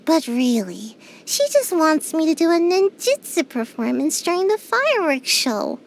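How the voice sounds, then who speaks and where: A drowsy little ninja girl with a high, bouncy voice that swings up into squeaky complaints. She talks slowly and sleepily, mumbling and sulking like a shy, grumpy kid in a game. Faint music plays behind her. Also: exaggerated voice. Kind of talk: game character voice lines.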